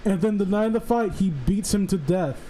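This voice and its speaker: Man, high voice, casual banter, excitable, sweary, stammering restarts, slight background noise. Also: fairly quick, poor audio. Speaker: stream co-host commenting on a read story. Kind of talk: casual banter and riffing on a story.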